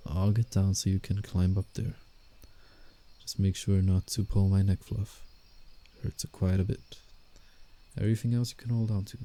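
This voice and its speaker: A man playing a guarded, teasing but soft-hearted werewolf in a scripted roleplay ASMR drama, speaking in a measured, reassuring way. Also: sits low, little pitch movement, close to an everyday voice. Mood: playful, reassuring. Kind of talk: roleplay ASMR, scripted character acting with inner monologue.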